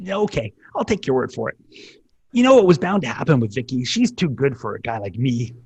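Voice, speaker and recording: A man's voice: medium pitch, sing-song, a little fast, darkish tone, slightly rough, no echo, full character voice. A man voicing an insecure, self-pitying nerdy schoolboy in an amateur sitcom table read, needy and self-deprecating. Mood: glum.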